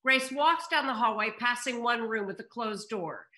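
A woman's voice: a little high, moderate pace, sharp tone. An older woman reading scripted stage directions as host of a table read, at home on a video call. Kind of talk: scripted narration. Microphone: computer mic on a video call.

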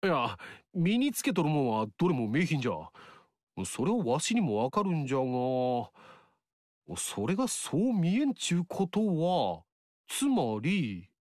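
An actor playing a cheeky, folksy upstart warlord in a video game, speaking in a rustic dialect. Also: male voice, fairly high pitch, big pitch swings, unhurried, a little mellow, no room sound. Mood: teasing. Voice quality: rustic dialect speech, teasing. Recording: dry studio recording.

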